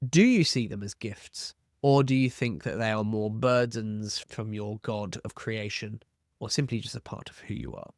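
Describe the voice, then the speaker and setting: A man hosting a tabletop roleplaying tips video, explaining in a measured, semi-scripted way and stressing key terms. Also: on the low side, dry recording, studio quality.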